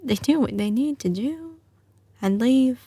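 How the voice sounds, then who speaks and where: A slow-spoken mafia boss girlfriend, acted by a woman, with a light Southern US drawl. She is teasing and doting yet ruthless, sliding between command and tenderness in a close, dry ASMR audio roleplay. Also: a little high, expressive, dark tone, husky, dry recording. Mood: intimate, musing. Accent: slight Southern US drawl. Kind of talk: ASMR audio roleplay, intimate scripted acting.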